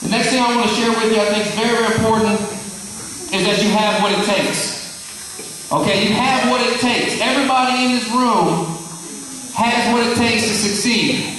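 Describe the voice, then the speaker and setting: A man in his thirties stands before a dinner crowd with a high, light voice, hammering home his points by repeating them and asking the room questions. His words ring around a large hall with a long reverb and reach the mic from far off. Faint room noise sits underneath. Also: normal speed, a bit bright. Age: 30s. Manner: emphatic, repeats key phrases, quizzes the audience. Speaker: guest speaker. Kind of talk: motivational speech to a dinner audience.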